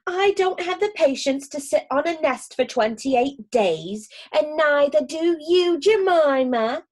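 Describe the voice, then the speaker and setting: A woman voicing an impatient, bossy female farm duck in a storybook reading, scolding in a raised, higher voice. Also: animated, takes it slowly, a little mellow.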